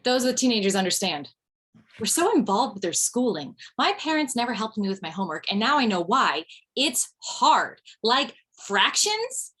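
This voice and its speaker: A woman's voice: fairly high pitch, big pitch swings, moderate pace, a bit bright, slightly rough, heavily acted. A woman acting a wry widowed mother doing stand-up. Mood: wry joking. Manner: wry, rambling, punchy comic delivery. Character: recently widowed mother in her forties, rambling, darkly joking. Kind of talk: table read of stand-up style monologue.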